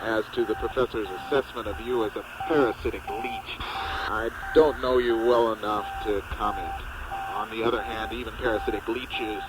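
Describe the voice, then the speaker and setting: A man's voice: on the low side, steady tone, lightly acted. Man playing noisy-line government press secretary. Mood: evasively diplomatic. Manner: measured, hesitant officialese. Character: evasive, diplomatic male presidential press secretary. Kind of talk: satirical radio theater interview. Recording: very noisy radio link.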